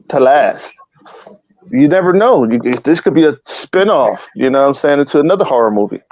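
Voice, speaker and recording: A man hosts a loose live talk show, rambling in heavy slang and dropping curse words as he goes. His voice sits at an ordinary pitch. The sound is dry and close. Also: a little mellow, dry recording, poor audio. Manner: slangy and rambling, keeps saying "you know what I'm saying"; swears freely and jokes around. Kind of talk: casual streamed chat.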